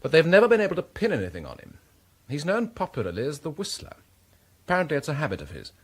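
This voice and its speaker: Curious urbane friend of a detective, British, very animated pitch, husky, radio drama. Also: male voice, fast, exaggerated voice. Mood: informative, animated. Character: curious, wry gentleman friend helping a detective investigate.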